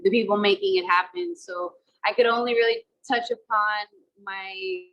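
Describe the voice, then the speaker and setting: A woman's voice: mid-range voice, big pitch swings, normal speed, a bit bright, highs cut off. A woman in her thirties, from the Dominican Republic, talking casually over a video call about her acting work, mixing Spanish and English with many fillers. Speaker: actress interviewed about a film.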